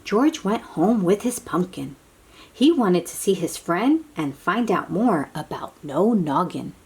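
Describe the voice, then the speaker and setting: A woman's voice: on the low side, slightly rough. A woman reading a picture book aloud to young children, dramatically, with asides and questions to the listeners. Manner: expressive, drawn-out, dramatic delivery with hushed moments.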